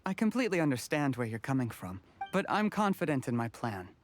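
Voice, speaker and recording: An actress playing an earnest, polite candidate for office in a fantasy video game, speaking articulately and courteously. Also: female voice, rumbling low, sing-song, fairly quick, slight background noise. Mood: earnest, confident. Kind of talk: English video game voice acting.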